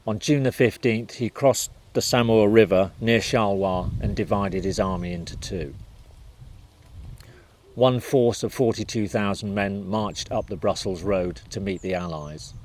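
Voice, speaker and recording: A man's voice: fairly low pitch, a little slow, darkish tone. A man reading scripted narration for a historical documentary.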